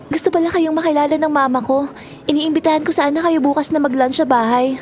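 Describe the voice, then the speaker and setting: A woman's voice: high voice, fairly even intonation, fast talker, darkish tone, slight background noise, lightly acted. A woman acting a sweet, cheerful teenage schoolgirl in a Tagalog audio drama. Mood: friendly, eager.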